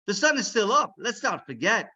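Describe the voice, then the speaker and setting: A man's voice: high voice, sing-song, normal speed, bright, forceful tone, dull audio, pitched above the performer's own voice, exaggerated voice. A man voicing an earnest, bold young boy hero from a storybook, exclaiming with urgency. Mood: urgent warning.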